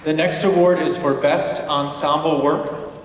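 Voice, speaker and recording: A man's voice: a little high, steady tone, unhurried. A man announcing awards live as the presenter of a ceremony. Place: large indoor auditorium. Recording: distant echoey hall, loud crowd and noise. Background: loud audience and noise.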